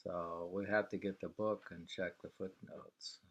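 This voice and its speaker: Slow, low-pitched musing man. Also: little pitch movement, breathy edge. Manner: unhurried, musing, pausing to hum and remark. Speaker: reader of a travel book and poems, commenting. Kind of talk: reading aloud with casual conversational asides.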